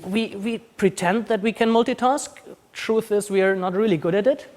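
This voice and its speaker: A man's voice: sits high, normal speed, darkish tone. A man from Austria whose accent blends Austrian German and New Zealand, giving a casual conference talk with many ums and restarts. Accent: mixed Austrian German and New Zealand. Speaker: conference speaker. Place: conference room.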